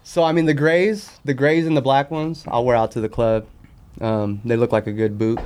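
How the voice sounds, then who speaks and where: Mid-pitched American man chatting casually. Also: level tone, normal speed, darkish tone, smooth. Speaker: podcast guest. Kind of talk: casual chat about sneakers.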